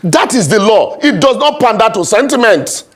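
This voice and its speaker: A Nigerian man argues law as a guest in a political debate. His voice sits high and swings widely in pitch, and he presses each point with emphatic repetition. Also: very animated, normal speed. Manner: argumentative, emphatic, repeats points for stress. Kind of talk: heated legal debate.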